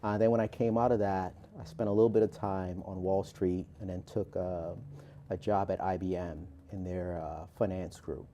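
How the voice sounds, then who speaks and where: A deep-voiced man from Barbados in his forties, answering as a guest author. His tone is very warm and relaxed, and he talks in a measured, thoughtful way with lots of small pauses. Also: highs cut off, slight background noise. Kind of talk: interview answers.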